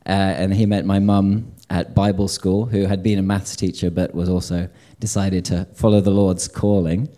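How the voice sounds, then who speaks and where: Deep-voiced man, hesitant and wry. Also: little pitch movement, moderate pace, hardly any pauses, dark tone. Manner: hesitant, many fillers, wry asides. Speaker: publisher on a panel. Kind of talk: panel discussion. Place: lecture event before an audience.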